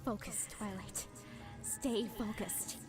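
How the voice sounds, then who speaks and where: A woman's voice: medium pitch, steady tone, takes it slowly, lightly acted. A woman playing an exhausted but defiant heroic pony in an audio drama, with a strained, emotional delivery. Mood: strained, bitter. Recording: music behind the voice.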